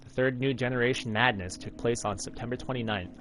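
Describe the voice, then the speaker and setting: A man's voice: medium pitch, steady tone, fast talker, fairly bright tone, slight background noise. An American man in his thirties reading a visual novel aloud in a narrating style. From United States, Alaska. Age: 30s. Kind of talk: dramatic read-aloud narration of game text. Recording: game music behind the voice. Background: game music.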